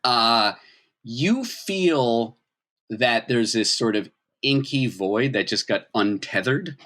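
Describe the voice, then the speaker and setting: A man's voice: medium pitch, lively intonation, slow pace, fairly bright tone. A man improvising narration as game master of a tabletop game, explaining scenes in a conversational way. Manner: conversational, explanatory, checks in with "right?".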